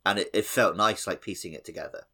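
A man co-hosts a casual gaming podcast. His voice sits a little low, and he talks in a conversational way. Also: quick pace, bright, forceful tone. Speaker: podcast host.

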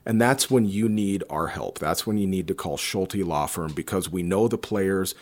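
Deep guy voice doing a scripted law firm radio ad. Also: normal speed, darkish tone. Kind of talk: scripted radio commercial read.

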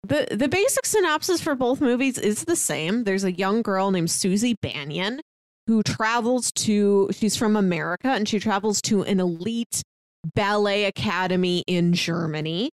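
A woman's voice: mid-range voice, expressive, moderate pace, no room sound. A woman co-hosting a podcast, explaining things in casual, conversational talk. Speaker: podcast co-host. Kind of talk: casual film discussion.

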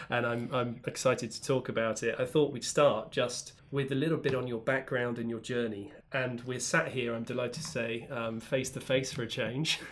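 Man interviewing with warm hesitations. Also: mid-range voice, steady tone, normal speed, hardly any pauses. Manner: friendly, laughs often, hesitant restarts. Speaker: host, interviewer. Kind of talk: casual interview. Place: university office.

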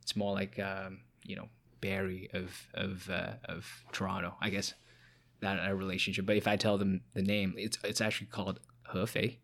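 A man's voice: sits low, completely flat delivery, normal speed, gravelly, a bit bright. A young man from China, a podcast guest and founder, chatting casually with many fillers and restarts. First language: Chinese. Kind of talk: casual interview chat.

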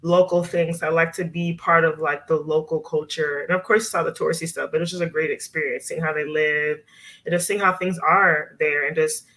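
A woman's voice: sits low, steady tone, brisk, a bit bright. A woman in her thirties from Miami, a nurse practitioner chatting casually as a podcast guest and using lots of "like" and "you know". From Miami, USA. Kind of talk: casual interview chat.

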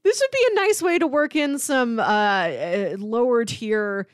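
A woman with a fairly high, animated voice talks casually as a podcast host. She explains things conversationally, and the sound is dry, with no room echo. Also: unhurried, smooth. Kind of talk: casual pop-culture podcast chat.

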